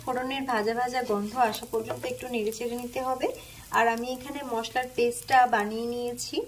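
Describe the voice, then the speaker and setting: A woman with a Bengali accent and a high voice plainly explains each step of a home recipe. She speaks calmly while she cooks at the stove. Faint frying and stirring sounds are heard behind her. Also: fast, a little hiss or hum. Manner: calm, plain instructional tone, listing ingredients. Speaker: cooking channel host.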